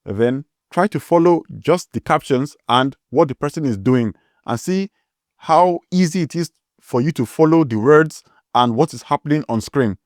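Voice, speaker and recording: A man's voice: on the high side, animated, normal speed, dry recording, clean studio sound. A man with a strong Nigerian accent hosting a tutorial, explaining step by step and spelling words out letter by letter. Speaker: tutorial host.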